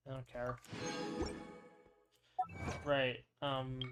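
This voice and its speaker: A young man giving casual gameplay commentary, muttering to himself in halting, half-finished thoughts. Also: medium pitch, flat delivery. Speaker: gamer playing on a stream or recording. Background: game music.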